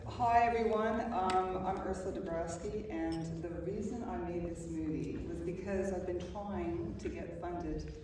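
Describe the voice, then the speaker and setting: A woman's voice: fairly low pitch, unhurried, few pauses. An Australian woman, a film director, speaking candidly and swearing casually in a live stage Q&A. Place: cinema stage before an audience. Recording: very echoey venue, distant mic, noise.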